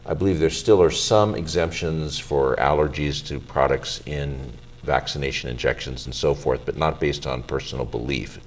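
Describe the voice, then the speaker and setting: A middle-aged man, a physician, hosting a health show, presenting in a measured, formal way and interviewing a guest. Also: a bass voice, slight background noise.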